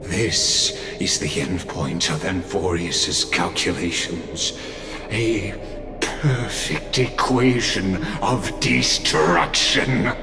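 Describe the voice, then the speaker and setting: An actor playing a grandiose, gloating villain in a video game cutscene, speaking slowly and theatrically with mocking laughter. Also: male voice, deep voice. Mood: cold, lecturing. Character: grandiose male villain, gloating scientist-god. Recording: echoey with loud music behind.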